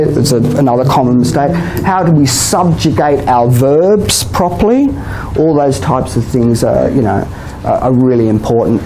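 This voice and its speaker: A man with an Australian accent giving a relaxed exam-prep lecture to a class, often checking in with "okay?" and "you know?". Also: mid-range voice, slight background noise, poor audio. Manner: chatty, many "okay?" and "you know?" tags, laughs often. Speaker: IELTS teacher.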